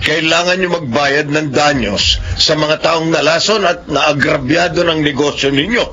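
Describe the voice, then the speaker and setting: An actor playing a stern police investigator, formal and admonishing, in a Philippine radio drama. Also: male voice, on the high side, measured pace, pushed and bright. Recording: dull lo-fi radio sound, faint noise.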